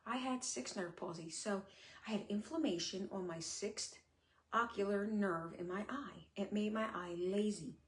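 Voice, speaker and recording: A middle-aged woman talking candidly on a live stream, her speech sometimes a little slurred, with many pauses and fillers. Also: mid-range voice, a little hiss or hum. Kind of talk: casual live-stream talk. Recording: echoey room.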